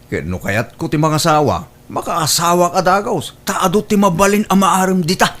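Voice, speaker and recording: A man with an Ilocano accent playing a stubborn, exasperated son in a comedy radio-style drama, animated and complaining. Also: high voice, moderate pace. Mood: casual.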